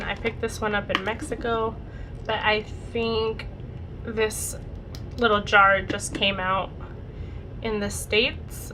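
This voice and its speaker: A young woman vlogger chatting casually through a makeup routine, her voice a bit raspy and her nose congested from a cold. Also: medium pitch, noisy background. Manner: chatty, rambling, lots of "like" and "super". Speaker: beauty vlogger. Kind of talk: casual makeup tutorial chat.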